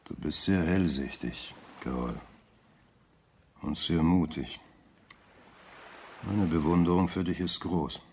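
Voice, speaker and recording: An actor playing a rich, suave, scheming middle-aged rival in a radio drama, urbane, measured and condescending. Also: male voice, rumbling low, a little mellow, slight background noise, heavily acted. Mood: cool, resigned.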